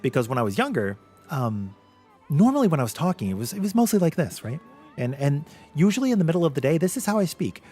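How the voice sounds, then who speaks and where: A man with a light voice, often taken for a girl's, chatting casually with viewers as a VTuber streamer, animated, with quick laughs. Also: a little high, husky, faint music behind. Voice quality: light voice he says is mistaken for a girl's. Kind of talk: casual livestream chat with viewers.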